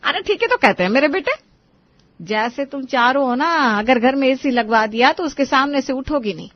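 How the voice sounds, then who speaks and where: A woman's voice: high voice, expressive, normal speed, dry recording, dull audio. A woman voicing a fussy, middle-aged mother-in-law in a cartoon family story. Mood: scolding. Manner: fussy, scolding. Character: nagging middle-aged mother-in-law, meek before her elders. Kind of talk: acted dialogue in a cartoon story.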